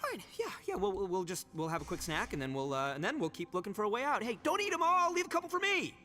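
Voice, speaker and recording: A man playing a brash, excitable, hungry young gang boss in a video game, blustering and stammering in slangy speech. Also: high-pitched, fast, exaggerated voice. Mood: tempted, relenting.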